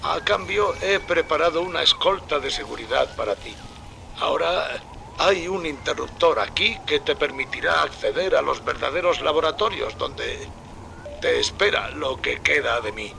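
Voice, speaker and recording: A weary, dying scientist leaves a quiet, resigned message in a game. His slightly high voice has a gravelly edge. Noise runs under the game audio. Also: animated, normal speed, full character voice. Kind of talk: game voice acting.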